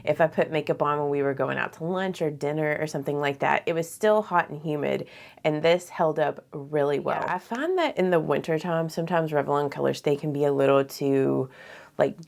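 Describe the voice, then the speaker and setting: A woman's voice: low-pitched, a little fast, gravelly, a little mellow. Congested woman chatting about her makeup. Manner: chatty, lots of "like" fillers. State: slightly congested, nasal. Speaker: beauty vlogger. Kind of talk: casual get-ready-with-me talk.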